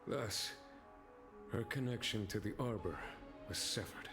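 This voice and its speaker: A man playing a calm, commanding, wounded general in a video game, speaking in a measured and formal way. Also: on the low side, over music. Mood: calm, weary.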